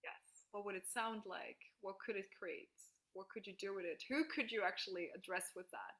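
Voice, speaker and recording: Bright-voiced woman leading a video call. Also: mid-range voice, moderate pace. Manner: enthusiastic, frequent "like", laughs easily. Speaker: workshop facilitator. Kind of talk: casual group call coaching.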